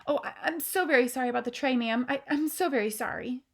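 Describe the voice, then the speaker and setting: A woman's voice: high voice, fast, pitched above the performer's own voice. A woman reading a storybook aloud, voicing a nervous maid who stammers and defers. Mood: nervous, deferential.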